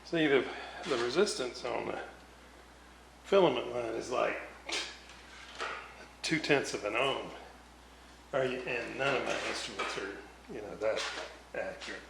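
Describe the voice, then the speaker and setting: A man's voice: mid-range voice, a little slow, gravelly, pauses often. A man casually narrating hands-on repair work as a hobbyist, thinking aloud as he goes in an indoor workshop. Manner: unscripted, thinking aloud while working. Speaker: radio repair hobbyist and video host. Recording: roomy echo, noticeable background noise.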